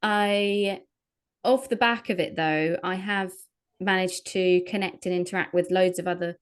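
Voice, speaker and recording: A woman's voice: lowish voice, fairly even intonation, unhurried, smooth. Hesitant woman entrepreneur. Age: under 40. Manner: hesitant, many fillers and restarts. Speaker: entrepreneur guest. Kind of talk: conversational interview answers.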